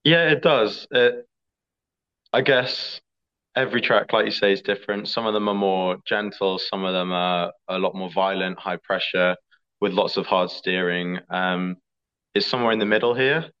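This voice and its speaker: A young man, an athlete answering interview questions in a relaxed, chatty way. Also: low voice, normal speed, smooth. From England. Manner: relaxed, chatty, fillers like "like" and "sort of". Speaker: skeleton athlete. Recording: slightly dull lo-fi audio.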